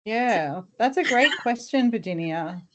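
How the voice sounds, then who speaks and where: A woman academic speaking as a guest on a video call webinar, thoughtful and hesitant, with many pauses and restarts. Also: fairly high pitch, lively intonation. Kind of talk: webinar discussion. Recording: dull video call audio.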